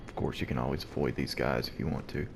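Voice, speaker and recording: A man quietly giving gameplay commentary at home early in the morning, keeping his deep, low voice hushed so he won't wake anyone. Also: fairly even intonation, fast talker, vocal fry. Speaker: let's play host. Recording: noisy background hiss.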